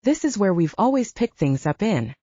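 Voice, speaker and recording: A woman narrator plays a plucky, loyal female werewolf in an audiobook. She is brisk and direct, with swooping intonation and a mellow tone, on a slightly dull recording. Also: medium pitch, big pitch swings, husky, no echo. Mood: bold, watchful.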